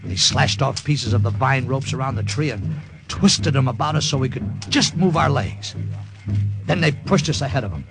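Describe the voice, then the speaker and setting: An actor with an American accent playing a wisecracking soldier hero who narrates and acts in a vintage radio drama. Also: male voice, medium pitch, expressive, normal speed, creaky. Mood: tense, vivid. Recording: old radio recording with music behind.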